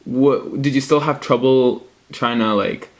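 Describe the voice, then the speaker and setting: Young man, interviewer, hesitant and rambling, average pitch. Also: a little slow. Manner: hesitant, many fillers and restarts. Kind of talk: casual family interview.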